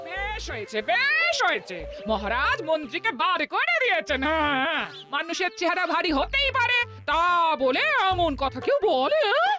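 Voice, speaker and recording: A woman's voice: extremely high pitch, wildly swooping pitch, moderate pace, over music, exaggerated voice. A woman voicing a devoted, indignant wife in a cartoon, chatty and emotive. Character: doting, indignant wife of a court jester.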